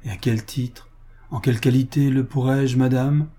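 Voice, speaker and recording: A man voicing a reserved, courteous gentleman with formal, measured delivery, in a dramatic reading of a novel. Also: mid-range voice, steady tone, lightly acted. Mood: cool reserve. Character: reserved, courteous gentleman, coolly restrained yet anxious.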